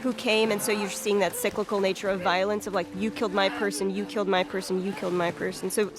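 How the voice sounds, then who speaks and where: A woman with a mid-pitched voice gives casual developer commentary on game scenes. She is earnest and analytical as she explains. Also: level tone, fast talker.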